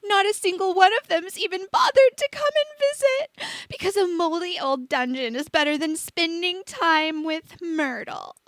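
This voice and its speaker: A woman's voice: very high voice, expressive, normal speed, a bit bright, no echo, voice pushed up. A woman voicing a self-pitying, melodramatic teenage girl ghost in a storybook reading, theatrical and sulky. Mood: wailing.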